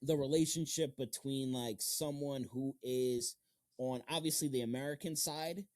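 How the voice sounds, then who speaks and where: A man's voice: mid-range voice, steady tone, measured pace, darkish tone. A man hosting a casual interview podcast, talking in slangy, emphatic, heavily profane language.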